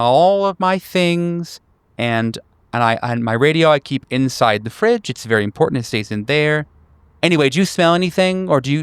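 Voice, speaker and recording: A man's voice: fairly high pitch, clean tone, no echo, voice pushed up, lightly acted. A man voicing an eccentric, paranoid woman neighbour in a tabletop horror game, rambling and insistent. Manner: rambling, insistent, full of restarts.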